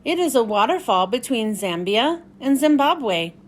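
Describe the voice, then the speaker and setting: A woman host reading a storybook aloud for children in an expressive way. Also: on the high side, unhurried. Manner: expressive, clearly addressed to young children.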